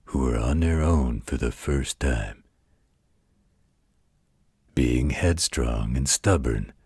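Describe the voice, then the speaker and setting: A gruff werewolf guardian, acted by a man, speaks in an extremely low, heavily rasping voice. He takes his time, coaxing like a patient but mock-stern parent at bedtime. The sound is dry and intimate, as in a roleplay audio. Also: a little mellow, no room sound, full character voice. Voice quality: very deep, gravelly, husky. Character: gruff, protective werewolf guardian, fondly exasperated parental figure.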